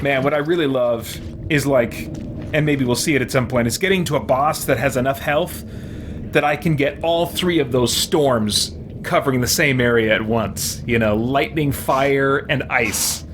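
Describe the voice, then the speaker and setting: A man's voice: medium pitch, normal speed, noisy background. A man hosting a let's play, giving casual gameplay commentary and thinking aloud with mild, folksy exclamations. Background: loud game music.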